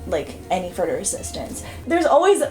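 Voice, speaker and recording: A woman's voice: on the low side, wildly swooping pitch, brisk, dark tone, soft background music, poor audio. A young woman in her twenties from Romania, a native Romanian speaker, answering interview questions in a chatty, hesitant way. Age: 20s. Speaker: student guest.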